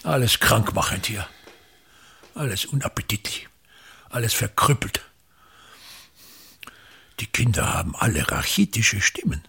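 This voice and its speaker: A man's voice: lowish voice, very animated, measured pace, vocal fry, pauses often, sharp tone, husky, full character voice. An actor playing a pompous, contemptuous old male theater director in a radio drama, with an Austrian accent and a declamatory, scornful way of talking.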